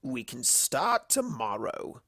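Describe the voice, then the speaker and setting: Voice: mid-range voice, very animated, slow pace, a bit bright, breathy edge, no room sound, clean studio sound, heavily acted. A narrator voicing a sly, theatrical male prankster creature of chaos in a dramatic, teasing, smug way for a cartoon fan story. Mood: delighted.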